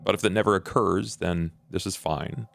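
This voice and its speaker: A man voicing a composed, world-weary male vampire with measured, formal phrasing in a tabletop game. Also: low-pitched, vocal fry, a bit bright, soft background music.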